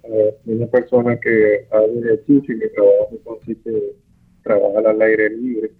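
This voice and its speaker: A man's voice: lowish voice, flat delivery, normal speed, breathy edge. A man in his fifties calling in to a radio show, asking his question hesitantly with many fillers. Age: 50s. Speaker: caller. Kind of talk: call-in question. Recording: tinny phone line with faint music.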